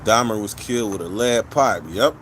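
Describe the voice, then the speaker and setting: A man's voice: lowish voice, normal speed, a bit bright, a little hiss or hum. A man from California, hosting a livestream and chatting casually with viewers in blunt, profane street slang, rambling from one story to the next. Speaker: livestream host.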